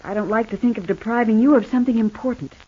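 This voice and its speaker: An actress playing a devoted, gentle wife recovering in hospital in a 1950s radio soap, speaking tenderly and earnestly. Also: female voice, a little high, quick pace, slightly rough. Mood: earnest, pleading. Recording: dull, band-limited old radio recording.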